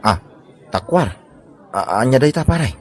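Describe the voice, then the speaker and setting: A nosy, mocking male buddy with an Ilocano accent, played by an actor. He speaks in a lively, varied pitch in comedy drama style. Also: measured pace, faint music behind.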